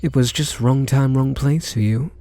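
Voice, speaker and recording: A British man playing a reluctant kidnapper in an intimate ASMR roleplay, wry and incredulous, speaking in short halting phrases. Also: mid-range voice, mellow, soft background music, lightly acted. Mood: wry, amused. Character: reluctant male kidnapper, gruff but secretly softening. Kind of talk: ASMR roleplay acting, intimate one-sided dialogue.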